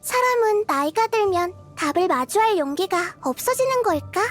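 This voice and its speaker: A woman voicing a tiny, childlike goddess of wisdom in a video game, cute and girlish, gentle, curious and thoughtful, musing softly. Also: very high voice, animated, normal speed, soft background music. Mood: tender, curious. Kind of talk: video game character voice lines.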